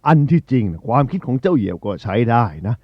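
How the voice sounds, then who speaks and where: Man playing a lively eagle king of birds. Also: mid-range voice, quick pace, breathy edge, dry recording, highs cut off. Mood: commanding. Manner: commanding, addressing a gathering. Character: male eagle ruler of the birds, authoritative yet caring. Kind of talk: acted children's storybook.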